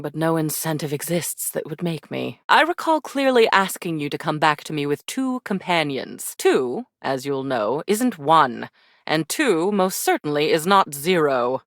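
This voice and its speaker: A woman's voice: low voice, no echo, heavily acted. A woman voicing a haughty female spirit in a video game, speaking in an arch, theatrical way with dry sarcasm and condescending endearments. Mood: sardonic. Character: haughty, sardonic female attic spirit of forgotten keepsakes. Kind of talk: scripted video game character acting.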